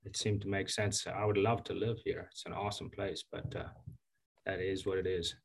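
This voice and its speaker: A man in his fifties from Zambia, a county engineer giving relaxed, chatty interview answers with many fillers and restarts. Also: deep voice, normal speed, vocal fry. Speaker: county engineer and public works director.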